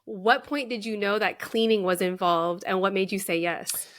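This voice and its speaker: A woman's voice: mid-range voice, moderate pace, fairly bright tone. A woman, a writing and speaking coach, chatting as a podcast guest in a friendly, curious way. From United States. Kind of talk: casual conversational interview.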